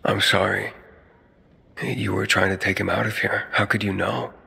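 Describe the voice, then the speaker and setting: An actor playing an impulsive, stubborn young man in a video game cutscene, with a gravelly voice and blunt, informal, naturalistic delivery. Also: on the low side, flat delivery, normal speed, sharp tone, full character voice. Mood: gentle, subdued. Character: impulsive, stubborn young man searching for his lost sister. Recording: music behind the voice.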